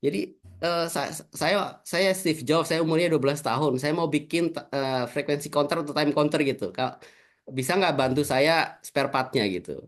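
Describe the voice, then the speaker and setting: A man's voice: on the high side, normal speed. Male guest speaker, casual webinar talk, tag-heavy chatter, video call audio. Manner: chatty, many fillers like gitu ya. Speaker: invited speaker.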